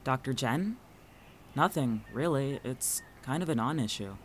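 A man's voice: mid-range voice, expressive, measured pace, slight background noise, lightly acted. An actor playing a guarded, sarcastic male college student in a fiction podcast, with a dry, curt manner.

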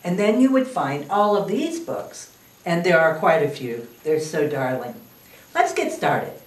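An older woman reading at a children's library storytime in a slow, animated, sing-song way. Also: on the low side. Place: library room with wood paneling. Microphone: far camera. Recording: echoey room from a distance.

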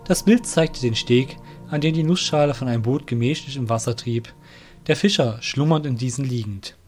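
A man's voice: mid-range voice, normal speed, darkish tone, soft background music. A man narrating a scripted fantasy audio drama.

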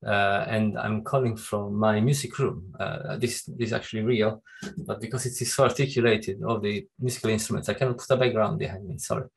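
A man in his fifties with an Italian accent, moderating a webinar panel in a conversational, halting way over a video call. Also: lowish voice, fairly even intonation, gravelly, husky. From Italy. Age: 50s. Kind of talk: introducing a panel discussion, semi-formal and conversational. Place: music room at home.

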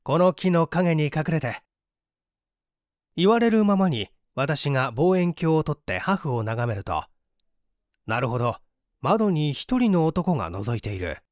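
A man narrating a mystery story as an audiobook, with an expressive, dramatic delivery. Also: a little high, unhurried, no room sound, clean studio sound. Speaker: audiobook narrator, stage actor.

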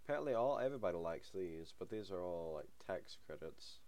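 A man's voice: low-pitched, animated, brisk, a little mellow. A young man hosting a gaming channel, talking casually over a game. He has a cold and the sniffles, and he rambles with many ums and small chuckles. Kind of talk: casual let's-play commentary.

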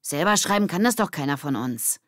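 A woman's voice: low-pitched, sing-song, fairly quick, no echo, studio quality. A woman voicing a practical, assertive schoolgirl in a children's audiobook. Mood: matter-of-fact. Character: matter-of-fact, assertive schoolgirl.

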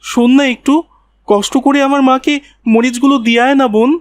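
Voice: sits high, steady tone, a little fast, no echo, natural voice. A performer voicing a polite, earnest young village boy in a cartoon. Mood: friendly coaxing. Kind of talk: cartoon dialogue.

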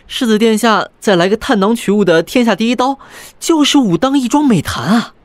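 A man's voice: sits high, fairly quick, no room sound, lightly acted. A man playing a timid, good-natured young Taoist monk in a wuxia audio drama, speaking in an ingratiating, placating way. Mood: placating, flattering.

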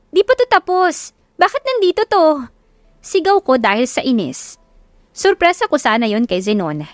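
A woman's voice: mid-range voice, no echo. A woman with a Filipino accent reading a scripted first-person story as an audiobook narrator.

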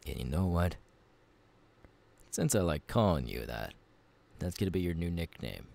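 A man voicing a gruff, easygoing pirate captain in an ASMR roleplay, gravelly and unhurried, talking intimately to the listener. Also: low-pitched, big pitch swings, a little mellow, full character voice. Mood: relaxed, welcoming. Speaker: voice actor.

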